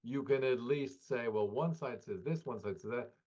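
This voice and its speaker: Man on dull audio, halting analysis. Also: mid-range voice, warm tone. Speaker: interviewed professor and analyst. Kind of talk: spontaneous interview analysis.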